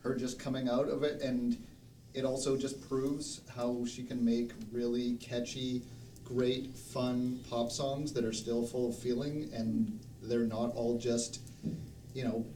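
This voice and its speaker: A man's voice: medium pitch, flat delivery, measured pace, mellow. A man chatting casually in an informal way, with hesitant starts. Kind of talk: casual chat. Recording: roomy, distant mic, faint background noise.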